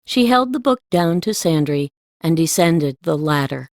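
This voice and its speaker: A woman's voice: sits low, expressive, unhurried, a little mellow, no room sound, studio quality. A woman reading scripted storybook narration as an audiobook narrator.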